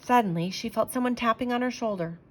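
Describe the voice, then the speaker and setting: A woman reading a children's storybook aloud as an expressive storyteller, with emphatic phrasing. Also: a little high, quick pace, slight background noise, rough recording.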